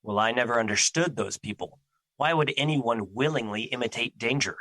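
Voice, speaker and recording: Man acting stern, formal personification of Fear, bright-voiced. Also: mid-range voice, normal speed, dry recording. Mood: irritated, stern. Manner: formal, serious, stiff phrasing. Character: Fear, stern, earnest, easily irritated male personified emotion. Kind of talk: audio drama acting.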